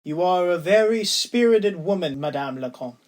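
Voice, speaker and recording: A man's voice: high-pitched, animated, normal speed, darkish tone. A man playing a courteous, loyal lieutenant in an audio drama, with polite, formal period speech. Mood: sympathetic.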